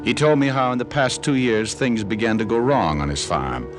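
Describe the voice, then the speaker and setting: An American actor playing a wry, hard-boiled private detective in an old radio drama, talking in a casual, dry, laconic way. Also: male voice, medium pitch, moderate pace, natural voice. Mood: dry, attentive. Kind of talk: 1940s-50s radio drama acting, first-person noir narration. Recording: old radio recording with music behind.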